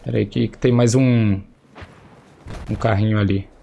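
A man's voice: lowish voice, steady tone, normal speed, gravelly, mellow, lo-fi sound, a little hiss or hum. Young Brazilian man with a cold. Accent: Brazilian Portuguese. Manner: chatty, slangy, exclaims at the action. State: recovering from a cold, voice slightly affected. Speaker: gameplay streamer. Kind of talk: casual gameplay commentary. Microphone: headset. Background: faint game gunfire and explosions.

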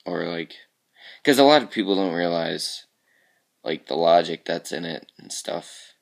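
A man walking through how he built his game levels, talking casually with a groggy, raspy voice because he has just woken up, often trailing off into quiet mumbles. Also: deep voice, expressive, a little slow. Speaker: level creator showing his game work. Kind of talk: casual screen-capture walkthrough.

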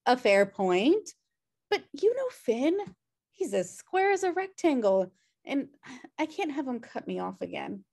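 A woman's voice: high-pitched, very animated, unhurried, creaky, a little mellow, exaggerated voice. A woman playing a messy, guilt-ridden, wry sister in a sitcom table read, rambling hesitantly. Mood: pleading, guilty. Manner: rambling, hesitant, self-deprecating. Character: messy, guilt-ridden, wry younger-at-heart woman pleading for her brother. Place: home room on a video call.

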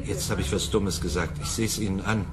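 A man's voice: low voice, fairly even intonation, a little fast, background noise, natural voice. A man playing a kindly, socially awkward simpleton in a German radio drama, hesitant and earnest. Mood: eager, awkward.

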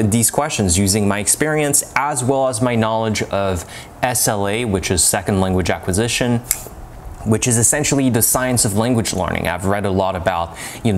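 A man's voice: on the low side, a little hiss or hum. Young man reviewing to camera. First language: French. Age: young adult. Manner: talks with his hands, many fillers and restarts. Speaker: language-learning reviewer. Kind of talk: casual product review to camera. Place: home room with bookshelves and a lamp. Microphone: lapel mic.

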